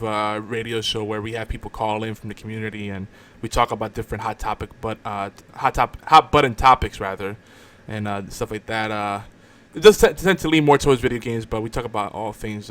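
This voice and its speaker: A man's voice: on the low side, a little fast, a bit bright. A man hosting a casual podcast, bantering in a rambling way with repeated words and restarts. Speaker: podcast host. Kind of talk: casual banter on a live online show.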